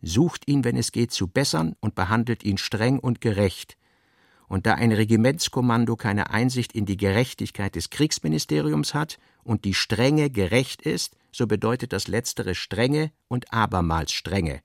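A man's voice: medium pitch, expressive, moderate pace, vocal fry, no room sound, studio quality. A man reading scripted literary narration for a radio play.

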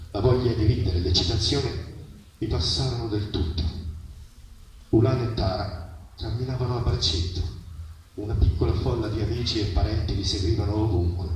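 A man reads literary prose aloud at a live event, heard from a distance through heavy echo. His pitch is middling and his phrasing is measured and dramatic, with pauses between images. Faint noise sits behind the voice. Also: a little mellow. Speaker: reader at a public literary reading. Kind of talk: expressive literary reading of a first-person story. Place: live reading at an outdoor festival. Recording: very echoey, distant mic, noisy outdoor ambience.

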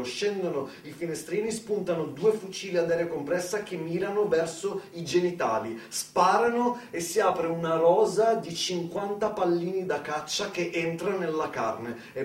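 A man delivering a conversational theatrical monologue in speech, with dramatic pauses and asides to the listener. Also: a little high. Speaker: solo stage storyteller. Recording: roomy, distant mic, faint noise.

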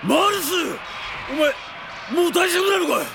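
An actor playing a rough, hearty mercenary with blunt, casual speech in a radio drama battle. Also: male voice, very high voice, moderate pace, heavy background noise. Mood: startled. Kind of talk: Japanese radio drama acting.

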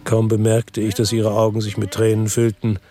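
An actor as a weary ex-cop narrator. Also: male voice, lowish voice, normal speed, faint music behind, natural voice. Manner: calm, reflective first-person narration. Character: world-weary ex-cop, middle-aged man, lives by the sea. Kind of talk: radio drama narration.

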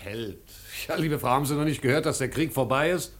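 A man's voice: mid-range voice, a little fast, a bit bright, faint music behind, natural voice. A man acting a blunt, bitter postwar official in a 1950s German radio drama, speaking in emphatic, rhetorical phrases. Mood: dismissive.

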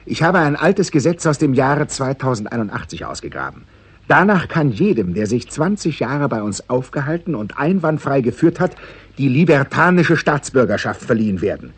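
Sly, animated intelligence chief, husky man. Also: medium pitch. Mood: calm, confident. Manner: wry, teasing, deliberately drawn-out. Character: sly, self-satisfied male intelligence chief reporting upward. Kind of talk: 1960s German radio play acting.

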